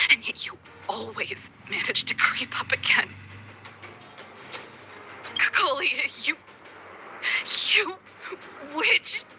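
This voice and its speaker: A woman's voice: high-pitched, bright, forceful tone, exaggerated voice. An actress playing a passionate, wounded young woman researcher in a video game, with dramatic, emotional delivery.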